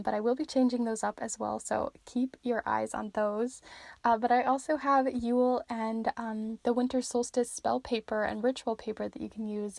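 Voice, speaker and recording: Gravelly-voiced young woman reading tarot. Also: on the high side, no echo. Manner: chatty, filler-heavy, warm encouragement. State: losing her voice. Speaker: tarot reader. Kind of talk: casual tarot reading to camera.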